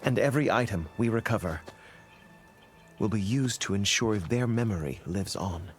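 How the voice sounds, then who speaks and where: An actor playing a devout, grateful believer who speaks in formal blessings in a game. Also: male voice, on the low side, animated, normal speed, faint music behind, natural voice. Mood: reverent. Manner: formal, reverent. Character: devout male sun-worshipper, grateful and formal.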